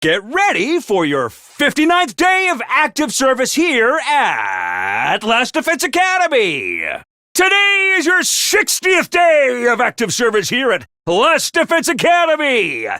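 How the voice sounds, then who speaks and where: An actor voicing a chipper, unhinged male academy announcer who gives bubbly announcements and bursts into gleeful shouting. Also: extremely high pitch, huge pitch swings, a little slow, no room sound, clean studio sound, full character voice. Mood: cheery. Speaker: game character announcer. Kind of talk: video game voice lines.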